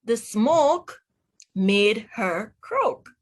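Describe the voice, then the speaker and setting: A Jamaican woman with a fairly high voice leads a children's read-aloud phonics lesson. She takes it very slowly, setting out words clearly and checking in with questions like a teacher. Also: sing-song. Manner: instructive, enunciates rhyming words, asks the listener questions.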